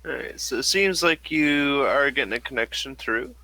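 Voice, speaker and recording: A man narrating a tabletop role-playing game as game master in a casual, halting way. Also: mid-range voice, animated, sharp tone, poor audio.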